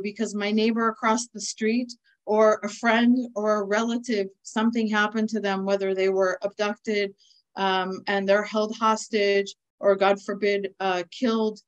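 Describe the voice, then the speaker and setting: A woman's voice: medium pitch, little pitch movement. Earnest American woman on a video call. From United States. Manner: earnest, measured, with frequent "uh" pauses. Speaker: nonprofit speaker. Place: indoors with a virtual flag background. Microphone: video call webcam.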